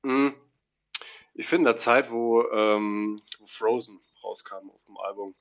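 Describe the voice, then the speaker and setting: Halting, gravelly man with a cold. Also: lowish voice, dull audio. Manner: hesitant, halting, laughs a lot. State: has a cold. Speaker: podcast guest.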